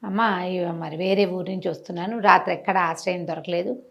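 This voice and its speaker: A woman telling a moral story conversationally, with animated delivery, rhetorical questions and everyday examples. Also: lowish voice, brisk, vocal fry, highs cut off. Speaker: storyteller, interview guest.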